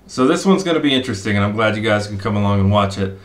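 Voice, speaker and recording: A middle-aged man explains his search step by step, thinking aloud and pausing on fillers. His voice sits fairly low and rings in a roomy, echoey small room. He sounds like he is talking into a desk mic at a computer. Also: animated. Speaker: fishing spot analyst. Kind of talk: screen-share walkthrough.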